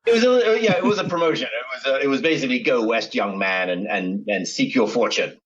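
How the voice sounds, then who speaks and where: A man's voice: mid-range voice, expressive, brisk, slightly rough, dull audio. A middle-aged man with a mixed American, British and Australian accent, rambling through a podcast interview as a guest author, with many restarts. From United States. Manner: meandering, many restarts and fillers.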